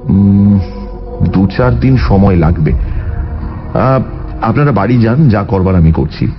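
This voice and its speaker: A man playing a calm, shrewd private detective in an audio drama, measured and confident. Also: fairly low pitch, highs cut off, soft background music. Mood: decisive, reassuring. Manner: measured, assured, authoritative.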